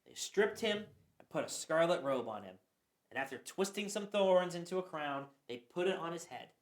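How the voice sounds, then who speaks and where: A man's voice: on the high side, expressive, moderate pace. A man narrating scripture for a church radio play, reading earnestly and sometimes stumbling. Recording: echoey room.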